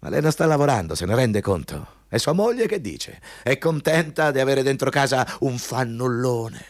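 An arrogant male company boss, played by a radio actor, bullies whoever sits across the desk. His pitch swings widely as he pompously hammers on repeated words. The studio sound is very dry and close. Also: big pitch swings, moderate pace, dry recording, close to an everyday voice. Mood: menacing, needling. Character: arrogant, bullying male company boss interviewing a job applicant. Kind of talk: Italian radio play acting.

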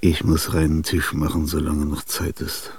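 An actor in a radio play voicing a weary, bitter aging composer, talking in rambling fragments with murmured asides and sudden outbursts, husky and gravelly. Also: male voice, extremely low pitch, flat delivery, normal speed, a little hiss or hum. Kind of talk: radio drama monologue. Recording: dry studio radio play.

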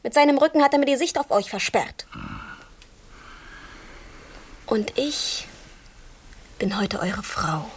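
Coy, high-voiced young servant woman played by an actress. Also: animated, moderate pace, far from the mic, close to an everyday voice. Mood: jealous, fussing. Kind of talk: German radio play acting. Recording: off-mic, faint noise and clicks.

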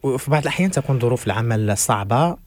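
A man with a Moroccan accent hosting a religious call-in radio show, briskly clarifying and rephrasing callers' questions. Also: mid-range voice, expressive, a little mellow. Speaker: radio host.

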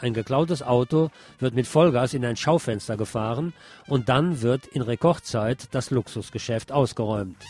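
A man presenting a read news report, measured and lifting his voice for listings and headings. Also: mid-range voice, darkish tone.

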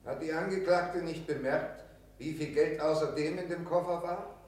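A man's voice: fairly high pitch, steady tone, normal speed, darkish tone, lightly acted. Man doing stern prosecutor in a radio drama, formal pointed questions, really echoey and away from the mic. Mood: stern, insinuating. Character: stern, insinuating male court prosecutor. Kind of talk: German radio drama courtroom acting. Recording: very echoey hall, distant from mic.

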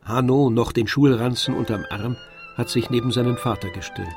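A man narrating a literary radio drama from a script in a measured storytelling manner. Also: mid-range voice, darkish tone. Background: faint music.

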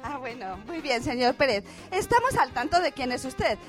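A woman acts an excitable on-the-scene radio reporter in a comic drama. She has an extremely high voice and a flustered, exclaiming, stammering delivery that keeps repeating itself. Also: normal speed, faint music behind. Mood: exasperated. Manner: breathless exclamations, stammering, exasperated repetition. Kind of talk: radio theatre parody of live news report.

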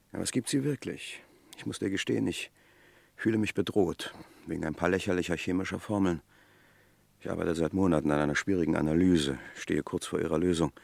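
A worried chemist confides that he feels threatened, in an old radio play. His voice is very low and gravelly, recorded dry and close. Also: no room sound. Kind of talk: 1960s radio crime drama.

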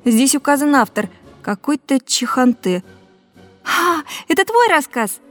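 A woman's voice: sits high, big pitch swings, a little slow, faint music behind. A woman playing a curious, teasing young sister in a children's audio drama.

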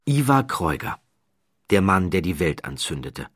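Deep-voiced man narrating gravely. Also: sing-song. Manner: measured, grave storytelling with hushed passages. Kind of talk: scripted documentary narration.

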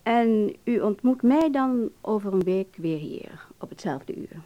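An actress in a Dutch radio drama playing an alluring, amused, worldly film-world lady with musing pauses. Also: medium pitch, lively intonation, dull audio, close to an everyday voice. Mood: amused, flirtatious.

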